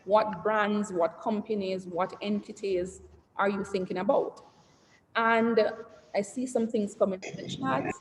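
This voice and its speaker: A woman's voice: mid-range voice, fairly even intonation, measured pace. A woman executive giving a conversational, interactive branding presentation to entrepreneurs over a video call. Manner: conversational, asks the audience and reads the chat aloud, trails lists with "et cetera". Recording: roomy reverberant sound with faint noise.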